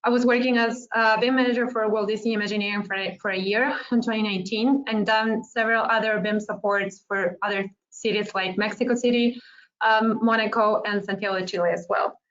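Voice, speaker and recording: A woman's voice: medium pitch, steady tone, brisk, a bit bright. A woman from Argentina presenting a webinar, friendly and hesitant, with many fillers. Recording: muffled lo-fi online call audio.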